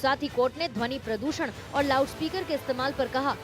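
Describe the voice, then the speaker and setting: Woman news narrator, high pitch, formal report script, noticeable music behind. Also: brisk.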